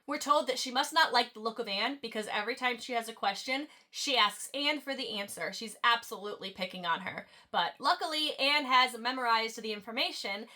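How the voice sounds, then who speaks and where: Young woman, nasal and sore-throated, bright voice, chatty book recap to camera, goofy little asides. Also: fairly high pitch. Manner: chatty, playful asides, stumbles when reading aloud. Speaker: book channel host. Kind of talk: casual chapter recap with read-aloud quotes. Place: at home, talking to camera.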